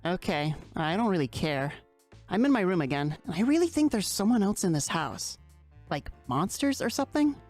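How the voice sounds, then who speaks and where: A woman's voice: lowish voice, big pitch swings, moderate pace, a little mellow. A woman voicing a skeptical, exasperated teenage boy in a fan-dubbed comic chat, dry, wordy and argumentative. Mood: dismissive, uneasy. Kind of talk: fandub of a webcomic chat. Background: music.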